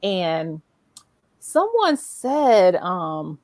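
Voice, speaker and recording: A middle-aged woman hosting a conversational interview in an animated, encouraging and emphatic way. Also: fairly low pitch, very slow, no room sound. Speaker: show host.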